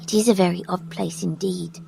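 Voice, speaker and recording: An actress playing a resolute, composed rescuer in an audio drama, measured and creaky-voiced. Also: female voice, fairly low pitch, fairly even intonation, background noise. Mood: calm, probing. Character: resolute, composed female rescuer questioning a child gently.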